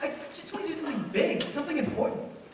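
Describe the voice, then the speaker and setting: Young actor as an eager dreamer in an echoey hall. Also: male voice, extremely high pitch, fast. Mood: earnest, frustrated. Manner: hesitant, stammering, excitable. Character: eager, restless young man dreaming of escape. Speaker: school play actor. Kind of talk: stage radio play acting. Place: school theater stage. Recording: echoey hall, distant mic, faint noise.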